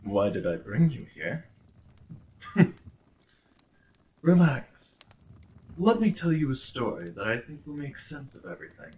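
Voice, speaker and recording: A man's voice: a little high, very animated, unhurried, long pauses, darkish tone, highs cut off. A man playing a smug, possessive crown prince in a roleplay audio drama, speaking with formal, condescending politeness and theatrical flair. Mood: amused, smooth. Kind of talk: roleplay ASMR acting.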